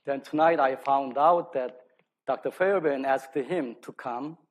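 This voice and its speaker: Korean-accented man giving a halting lecture. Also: mid-range voice. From South Korea. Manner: self-deprecating, halting delivery. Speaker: lecturer, archaeology scholar. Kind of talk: public academic lecture. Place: speaking live to an audience at an event.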